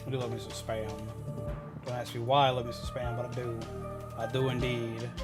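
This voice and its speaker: A man's voice: medium pitch, little pitch movement, brisk. A man doing casual game livestream commentary, joking playfully and repeating his phrases as he chats with viewers. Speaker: game streamer. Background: game music.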